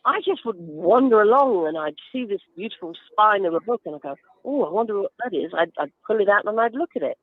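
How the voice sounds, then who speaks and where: A woman's voice: mid-range voice, expressive, fast talker, hardly any pauses, dry recording. A woman chatting enthusiastically and descriptively as a guest giving advice in a radio interview. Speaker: guest author. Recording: narrow phone-like line.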